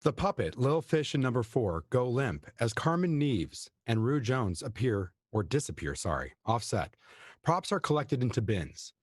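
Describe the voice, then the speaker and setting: A man with a husky voice reading stage directions as scripted narration of a play. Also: medium pitch, no room sound, clean studio sound.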